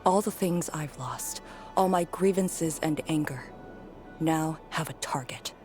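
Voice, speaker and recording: An actress voicing a melancholy, quietly resolute young woman in a game, breathy, subdued and reflective. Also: sits low, a little slow. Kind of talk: scripted game voice acting. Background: prominent music.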